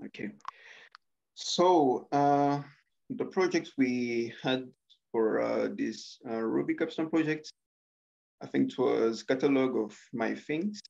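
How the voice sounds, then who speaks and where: A young man talks through his coding project on a video call. He speaks very slowly, pausing on filler sounds, and his voice is strongly gravelly. The audio is a little dull and lo-fi. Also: medium pitch, a little mellow. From Rwanda. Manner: hesitant, many filler sounds. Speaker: student presenting a coding project. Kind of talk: screen-share software demo.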